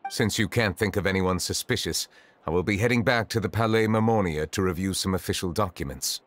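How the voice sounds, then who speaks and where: An actor playing a grave, protective male judge in a video game, speaking in a formal, measured, serious way. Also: deep voice, fairly bright tone, dry recording. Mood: grave, analytical. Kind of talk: video game character dialogue.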